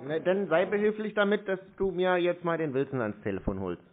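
Guy on a tinny phone call, kinda high voice, short casual answers, stubbornly insistent. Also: animated, fairly quick, a little hiss or hum. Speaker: prank callee baiting phone scammers. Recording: muffled narrowband phone line.

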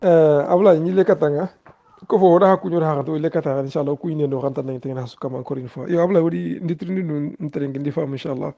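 A man's voice: fairly high pitch, steady tone, fast, vocal fry, dark tone, highs cut off. A man from Mauritania, a guest author, talking in a hesitant way with many fillers and restarts. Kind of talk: casual interview talk.

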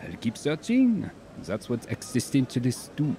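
An earnest young Frenchman in a radio drama, a musician searching for meaning. His voice is mellow and mid-pitched. He speaks thoughtfully and drops in words. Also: huge pitch swings, moderate pace, a little hiss or hum. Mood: reflective. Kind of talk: audio drama acting.

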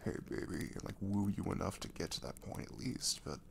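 A man playing an affectionate, dorky young boyfriend in an ASMR roleplay, speaking softly and breathily, often near a whisper, in a halting, tender way. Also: deep voice, creaky, a little hiss or hum. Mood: stunned.

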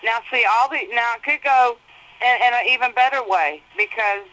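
A woman's voice: on the high side, moderate pace, pushed and bright, poor audio. A mother on the phone with her jailed daughter, patient and reassuring, explaining the court plans and calling her baby. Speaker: mother of the inmate. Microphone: telephone.

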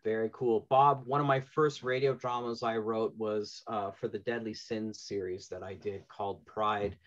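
A man's voice: fairly low pitch, fairly even intonation, normal speed, dull audio. A middle-aged man hosting a conversational panel interview over a video call. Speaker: panel host. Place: indoor room at home, video call.

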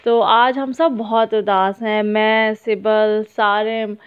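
A woman in her thirties with a Pakistani accent, sounding sad as she gives a casual, halting vlog voice-over sprinkled with English words. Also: mid-range voice, little pitch movement, clean tone. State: sad, downcast. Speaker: family vlogger. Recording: muffled phone-like narrow-band sound.

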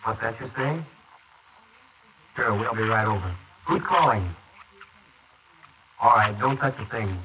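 A man playing a brisk, curt police detective sergeant who is clipped and impatient, in 1940s radio acting. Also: fairly low pitch, natural voice. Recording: hissy lo-fi 1940s wire recording.

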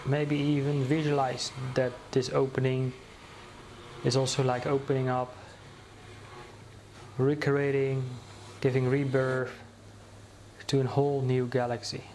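A man guides a meditation in a slow, soothing voice that stays almost perfectly level in pitch. He pauses often and restarts his phrases as he leads the listener along. A faint hiss of noise sits under the recording. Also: level tone, darkish tone.

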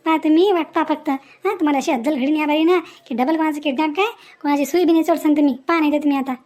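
Woman acting a feisty village woman, high voice, rapid scolding, cartoon comedy. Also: darkish tone, natural voice. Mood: defiant. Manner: scolding, defiant, talkative. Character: feisty sharp-tongued kidnapped village woman.

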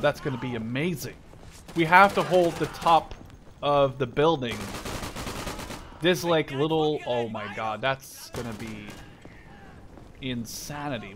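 A man in his twenties giving casual, rambling, sweary gameplay commentary in an excitable way. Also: medium pitch, noisy background. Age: 20s. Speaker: gamer, video host. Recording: loud game gunfire behind the voice. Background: gunfire and machine guns from game.

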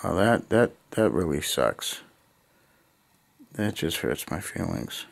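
The fairly low voice of a middle-aged American guy you would hear puttering around his basement workshop, muttering to himself and chatting to the viewers of his hobby vlog. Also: sing-song, slow pace, vocal fry. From United States. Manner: unscripted, thinking aloud in short muttered bursts. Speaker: hobby vlogger. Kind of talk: casual chat to camera. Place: cluttered basement hobby room. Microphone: handheld camera near arm's length.

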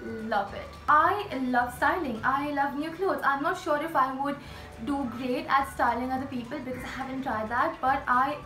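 A woman's voice: high voice, normal speed. An eighteen-year-old woman, talking casually to camera in a small indoor room and gesturing expressively with her hands. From India. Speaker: YouTuber. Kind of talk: casual facts-list vlog. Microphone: camera in front, chest-up framing. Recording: roomy echo, faint music behind.

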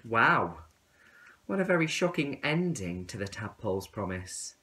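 Slow, animated male storyteller. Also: on the low side. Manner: expressive, deliberate. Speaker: children's story reader. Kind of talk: storybook read-aloud for young children.

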